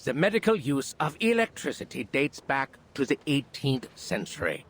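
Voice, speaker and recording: A man's voice: medium pitch, wildly swooping pitch, moderate pace. A man playing a showy physician in a video game, addressing an audience in a formal, grandiloquent, theatrical manner. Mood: grand, showy. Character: showy male physician presenting a demonstration to an audience. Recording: clear background ambience noise.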